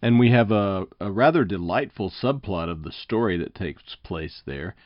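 Deep voiced guy hosting a book podcast interview, dry close sound, bit muffled. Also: animated, moderate pace, no echo. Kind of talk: conversational interview with prepared lead-ins.

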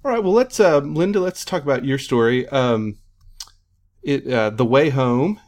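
A man's voice: mid-range voice, animated, measured pace, a little mellow. Guy hosting a book panel, mid-range voice, kinda meandering and tentative, rewords himself. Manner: tentative, meandering questions with self-corrections. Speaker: panel moderator, editor. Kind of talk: casual interview moderation.

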